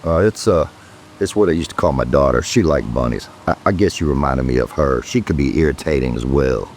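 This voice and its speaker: An actor playing a world-weary, curt and sardonic police detective in an audio drama. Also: male voice, a bass voice, brisk, darkish tone, slight background noise. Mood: brusque.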